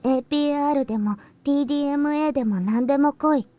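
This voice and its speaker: A young woman acting a quiet, techy, earnest girl in a visual novel, speaking in a terse, understated way. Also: high voice, rapid-fire, soft background music, natural voice. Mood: proud.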